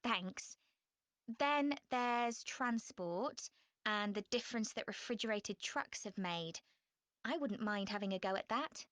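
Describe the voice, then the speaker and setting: A woman playing a frank, chatty young student in a clear, measured scripted dialogue. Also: mid-range voice, expressive, a bit bright, dry recording. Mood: frank, cooperative. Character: young female student planning a class presentation, frank and chatty. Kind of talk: scripted exam listening dialogue.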